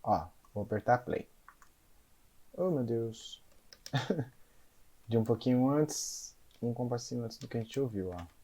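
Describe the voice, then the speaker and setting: A man's voice: medium pitch, animated, slow, long pauses, warm tone. A man, a conductor and music teacher, explains a big band score in a casual, explanatory way and keeps checking in with his listeners. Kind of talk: explaining a score in an educational concert video.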